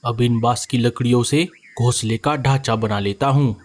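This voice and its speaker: A man's voice: mid-range voice, steady tone, moderate pace, close to an everyday voice. A man voicing an easygoing, lazy male sparrow husband in a children's cartoon, grumbling and pleading. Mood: earnest. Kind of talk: cartoon voice acting.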